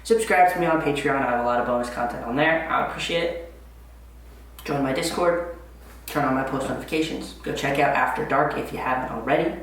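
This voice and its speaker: A man's voice: mid-range voice, fast talker, a bit bright. A man of twenty-five, hosting a podcast in an upbeat, chatty way with many "um" fillers. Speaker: podcast host. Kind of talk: casual chat. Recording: very echoey room, far from the mic.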